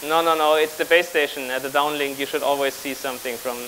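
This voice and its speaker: A man in his thirties speaking haltingly as he improvises a live technical demonstration as a conference speaker. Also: on the high side, steady tone, background noise. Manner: halting, improvising while working at a laptop. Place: large auditorium on stage with audience.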